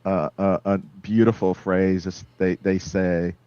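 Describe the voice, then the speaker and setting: A man's voice: low-pitched, level tone, takes it slowly, clear, resonant tone, dry recording. A man from New York City with a Spanish accent, hosting a casual podcast chat, rambling and laughing as he talks. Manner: rambling, warm storytelling with frequent laughs and restarts. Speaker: podcast host. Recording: dull lo-fi dry sound.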